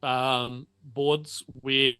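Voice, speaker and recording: A man running a tabletop roleplaying game as game master, narrating off the cuff and often halting to think mid-sentence. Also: mid-range voice, little pitch movement, bright, forceful tone, clear, resonant tone, no room sound.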